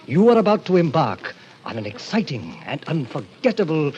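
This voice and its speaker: Suave, sinister club president, swooping delivery. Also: male voice, fairly high pitch, sing-song, normal speed, a little mellow, a little hiss or hum. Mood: smoothly sinister. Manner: theatrical, courtly, grandiloquent. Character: suave, sinister fifty-year-old president of a death club. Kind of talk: 1940s radio drama acting. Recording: muffled old-time radio recording.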